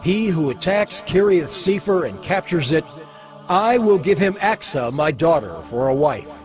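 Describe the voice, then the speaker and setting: Bold israelite chief declaiming, highish voice, bible audio drama, faint music. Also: male voice, expressive, measured pace. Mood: bold, proclaiming.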